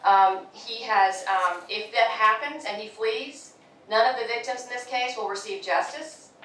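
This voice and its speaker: A woman's voice: a little high, measured pace, fairly bright tone, slight background noise. A woman arguing as prosecutor in court, insistent and stumbling over fillers. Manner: insistent, with many fillers and restarts. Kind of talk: courtroom argument. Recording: echoey courtroom audio from a distant mic.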